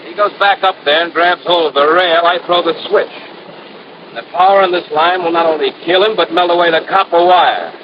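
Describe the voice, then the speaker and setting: A muffled, hissy old radio recording carries a man acting a gloating madman killer. His fairly high voice threatens and taunts like a villain who holds all the cards. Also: moderate pace, natural voice. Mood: gloating, excited. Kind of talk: old-time radio crime drama acting.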